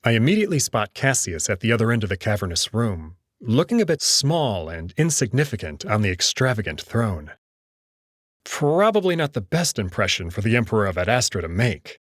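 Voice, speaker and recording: A man's voice: fairly low pitch, very animated, fairly quick, no echo, studio quality. A man narrating a first-person story in a dramatic reading style.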